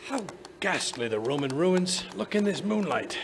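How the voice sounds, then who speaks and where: An older man playing an excitable, blustering gentleman doctor and detective's sidekick, stammering in old-time radio style. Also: high voice, normal speed, slight background noise. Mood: alarmed. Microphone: shared stage microphone.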